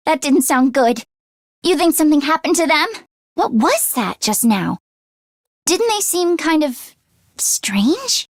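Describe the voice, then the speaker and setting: A teenage girl mechanic from a video game, with a high, youthful voice and a close, dry sound. She is a friendly tomboy, earnest and a little anxious, and speaks casually and expressively. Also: a bit bright, no room sound, heavily acted. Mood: worried, alert. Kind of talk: English video game voice acting.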